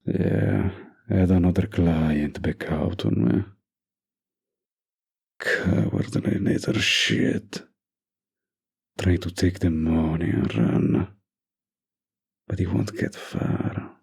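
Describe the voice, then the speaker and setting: A man playing a dominant young mafia boss boyfriend, menacing yet doting, with a gravelly, husky voice in a scripted romantic audio roleplay. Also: low-pitched, expressive, slow, pauses often, a little mellow, exaggerated voice. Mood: irritated, contemptuous. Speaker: voice actor.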